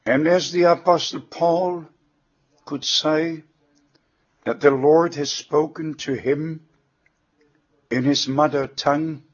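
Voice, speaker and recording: A German-accented man preaching a sermon, each thought broken into short, deliberate phrases at a very slow pace. His voice sits a little high for a man. The recording is dull and lo-fi. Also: fairly even intonation.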